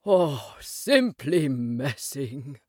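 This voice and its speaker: Woman as a lively, carefree storybook water rat. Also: fairly low pitch, moderate pace, dry recording, studio quality. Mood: dreamy. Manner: hearty, breezy, dreamy about the river. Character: cheery, easygoing male water rat who loves boats. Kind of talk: animated storybook character reading.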